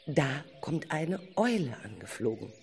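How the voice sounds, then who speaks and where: A radio play narrator with a very animated, dramatic delivery. The scripted storytelling moves at an unhurried pace, over faint noise and ambience. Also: medium pitch, vocal fry. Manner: dramatic storytelling, addresses listeners.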